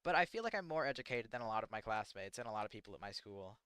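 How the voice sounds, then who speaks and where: Teen American male streamer, bright crisp voice, halting and self-deprecating, dry close mic. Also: fairly low pitch, animated, no echo. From United States. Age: teens. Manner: halting and rambling, self-deprecating, many fillers. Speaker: livestreamer. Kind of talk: casual livestream chat answering chat questions.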